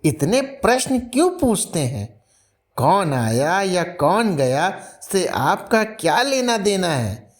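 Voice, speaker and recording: A man's voice: high voice, sing-song, takes it slowly, voice pushed up, exaggerated voice. A man voicing an irritated grown son in a storybook tale, snapping impatient questions at his father.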